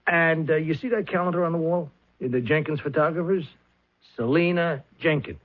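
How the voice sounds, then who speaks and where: An actor playing a flustered middle-aged talent agent who stammers and rambles, in a 1970s radio drama. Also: male voice, fairly high pitch, normal speed, close to an everyday voice. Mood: rambling. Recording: lo-fi old radio broadcast.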